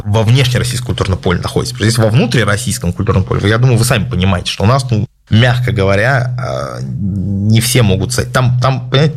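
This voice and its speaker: A man hosting a casual question-and-answer session, giving his opinions in a measured way with many hesitant filler sounds. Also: lowish voice. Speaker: host answering viewer questions. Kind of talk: casual spoken Q&A.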